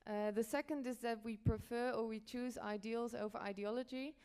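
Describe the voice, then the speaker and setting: Clear-voiced woman presenting, somewhat halting. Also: on the high side, steady tone, a little mellow. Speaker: panel speaker, journalism editor. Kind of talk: conference presentation.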